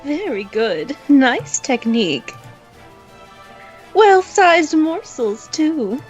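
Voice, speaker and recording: A woman's voice: high voice, very animated, highs cut off, soft background music, exaggerated voice. A woman playing a haughty, sinister and lonely alien noblewoman in a narrated story, speaking in a formal, theatrical, self-pitying manner. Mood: pleased, condescending. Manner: slow, theatrical, formal speech. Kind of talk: dramatic character acting in narrated story.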